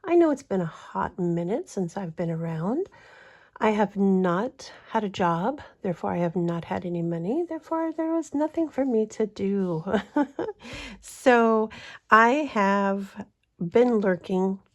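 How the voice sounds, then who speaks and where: A woman's voice: mid-range voice, animated, measured pace. A woman with a stuffy voice from a cold, which cuts in and out, chatting casually and rambling with frequent ums as host of her budgeting channel. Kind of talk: casual vlog chat.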